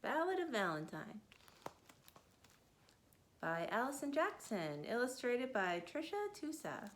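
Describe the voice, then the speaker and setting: A woman in her thirties reading picture books aloud to children, expressive and sing-song, and a little tired. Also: on the high side, measured pace. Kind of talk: picture-book read-aloud.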